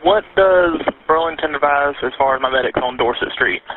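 A man's voice: on the high side, fairly quick. A male emergency dispatcher on a phone line, making a casual, matter-of-fact work call. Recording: tinny phone line with faint noise.

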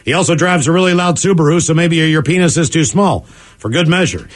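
A man in his forties, a radio host reading listener emails in an animated, jokey way. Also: on the high side, fast. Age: 40s. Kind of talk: morning radio show.

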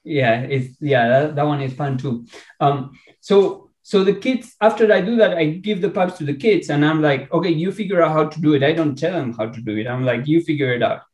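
A man from Argentina with an Argentine Spanish accent, a researcher talking in a podcast interview, enthusiastic and halting with many restarts. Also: fairly high pitch, a little mellow, echoey room. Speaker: researcher and guest.

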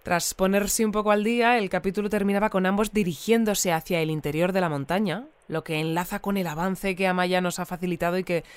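A woman's voice: fairly low pitch, steady tone, brisk, smooth, no room sound, lightly acted. An actress playing a composed female news presenter, polished and orderly in scripted broadcast style. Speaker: host.

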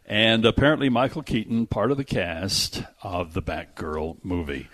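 A man's voice: fairly low pitch, fairly even intonation, normal speed, fairly bright tone, dry recording. A man hosting a radio show, chatting casually about movie news in a jokey, exaggerating way. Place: radio studio. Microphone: close studio microphone.

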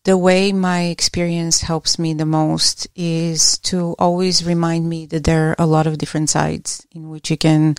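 A middle-aged woman from Bulgaria, an academic guest answering interview questions in a measured, reflective way with small restarts. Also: deep voice, clean tone, dry recording, studio quality.

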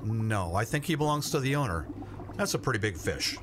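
A man's voice: lowish voice, very animated, fairly quick. A man playing an awkward, well-meaning family fisherman in a radio play, hesitant and plainspoken, over noisy ambience. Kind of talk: naturalistic radio play acting. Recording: noisy ambience with vehicle sounds.

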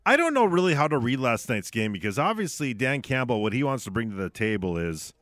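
A man's voice: medium pitch, very animated, a little fast, fairly bright tone, no room sound. A man co-hosting a sports radio show from a studio, talking casually and offering opinions on games and players.

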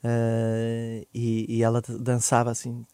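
Animated Portuguese man telling a story. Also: mid-range voice, smooth, no echo. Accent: European Portuguese. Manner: animated, laughing, quick bursts then trailing off softly. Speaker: podcast guest, singer. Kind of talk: casual interview storytelling.

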